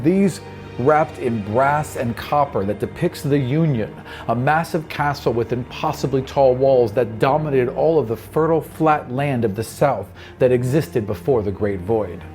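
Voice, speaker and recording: A man's voice: medium pitch, expressive, moderate pace, darkish tone. A man narrating a tabletop fantasy game as game master, shifting between descriptive storytelling and casual table talk. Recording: music bed under voice. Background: background music.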